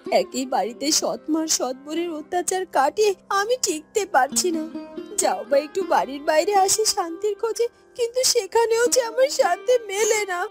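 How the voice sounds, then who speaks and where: A woman voicing a gentle, sorrowful young village woman in a melodramatic fairy-tale cartoon, pleading plaintively. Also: extremely high pitch, expressive, moderate pace, soft background music. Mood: anguished.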